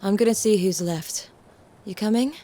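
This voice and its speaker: An actress playing a cautious, practical woman park staffer in an audio drama, measured and a little hesitant. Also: medium pitch, dark tone, close to an everyday voice.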